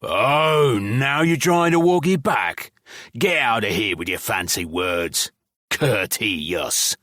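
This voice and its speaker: A man voicing a scrappy male goblin convict, hoarse, crude and mocking. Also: medium pitch, lively intonation, takes it slowly, sharp tone, no room sound, studio quality, voice pushed up.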